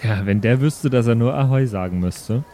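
A man improvising casually as a tabletop role-player, halting and a bit cocky as his character. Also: medium pitch, mellow, slight background noise.

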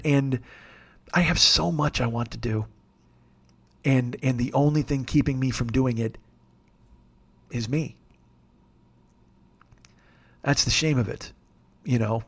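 A man in his forties hosting a podcast alone, talking in a rambling, jokey, self-deprecating way with a gravelly voice. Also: medium pitch. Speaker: podcast host. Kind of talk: casual solo monologue.

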